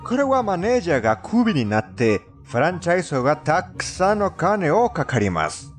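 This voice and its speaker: A man's voice: on the high side, sing-song, fairly quick, faint music behind. A man with a non-native accent delivers scripted countdown narration in a formal, somewhat stilted storytelling manner. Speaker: narrator of a fandubbed countdown video.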